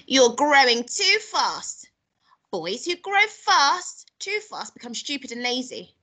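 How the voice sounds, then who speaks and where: Lady reading as mean old storybook grandma, snappy and bossy, raspy edge, very animated. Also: high-pitched, slow pace, voice pushed up, full character voice. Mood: snappish, scolding. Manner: bossy, scolding, relishing nasty things. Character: wicked, snappish, tiny old grandmother, maybe a witch.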